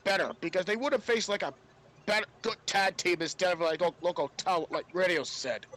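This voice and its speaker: A man co-hosting a casual wrestling podcast, speaking haltingly and often repeating words as he explains. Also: high-pitched, a bit bright. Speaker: podcast co-host. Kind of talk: casual wrestling review chat.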